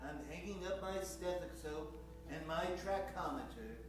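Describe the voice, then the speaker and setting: A man plays a pompous, disgraced doctor of science in an old-time radio comedy. His voice is high and weary. It is picked up from a distance in a very echoey space, with loud music behind. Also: animated, moderate pace. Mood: weary, resigned.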